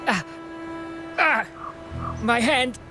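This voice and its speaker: A woman voicing an injured video game survivor in pain, dazed and halting, with strained gasps. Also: a little high, lively intonation. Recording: music under the voice.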